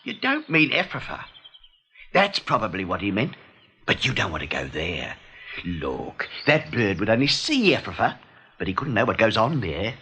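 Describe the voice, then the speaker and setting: Jittery, low-voiced hare. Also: male voice, wildly swooping pitch, moderate pace, pushed and bright, breathy edge, heavily acted. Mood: dark warning. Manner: emphatic, jittery. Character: nervous, talkative hare, friendly but full of warnings. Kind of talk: radio play acting.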